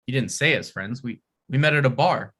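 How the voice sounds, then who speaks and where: A man reading the part of an earnest, odd young guy who rambles on and trips over his words. His voice is bright and forward. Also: medium pitch, lively intonation, brisk. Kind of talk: table read of a comedy script.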